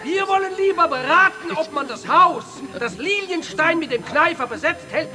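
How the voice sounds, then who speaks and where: A woman's voice: extremely high pitch, lively intonation, moderate pace. A woman playing an impatient, vindictive council member in a theatrical radio play. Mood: impatient, scornful. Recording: echoey hall, far off, music behind.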